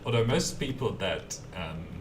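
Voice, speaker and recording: A man with a mild German accent softened by an Australian twang talks slowly and easily in a low voice while he streams a building game. The mic sits some way off, and keyboard typing clatters clearly behind him. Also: expressive, recorded from a distance, background noise. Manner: easygoing, chatty, answers viewer questions between tasks.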